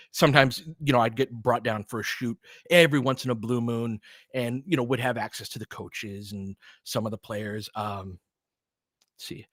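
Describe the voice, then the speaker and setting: A man's voice: mid-range voice, bright, forceful tone, no room sound. Casual American man, close dry sound. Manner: informal, slangy. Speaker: basketball play-by-play livestream host. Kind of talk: casual fan chat and play-by-play commentary.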